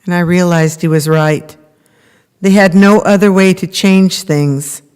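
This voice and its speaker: Woman acting a slow, clear-voiced earnest mother. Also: on the low side, fairly even intonation, a little mellow, natural voice. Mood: earnest, sorrowful. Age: middle-aged. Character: earnest mother of a prisoner, campaigning. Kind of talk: readers theater monologue, read from script. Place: large temple hall, at a lectern. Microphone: microphone on a stand at lectern. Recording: reverberant hall recording.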